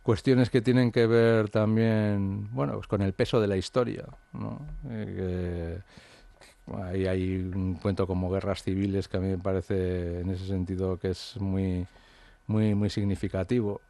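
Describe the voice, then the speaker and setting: Author guy from the basque country, thinking out loud, lots of ums, low mellow voice. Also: flat delivery, unhurried, gravelly, no room sound. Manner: hesitant, reflective, many fillers and restarts. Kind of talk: interview conversation.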